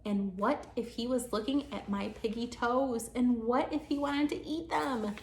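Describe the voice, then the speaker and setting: A woman with a very high voice reads a children's storybook as a teacher would for her pupils. The recording has an echoey room sound. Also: unhurried. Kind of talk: children's storybook read-aloud.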